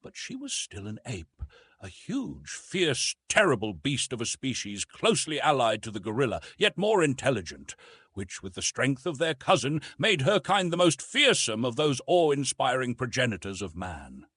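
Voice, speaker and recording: A man narrating a classic adventure story as an audiobook, in a measured, dramatic storytelling manner. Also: medium pitch, sing-song, fairly bright tone, breathy edge, dry recording, studio quality.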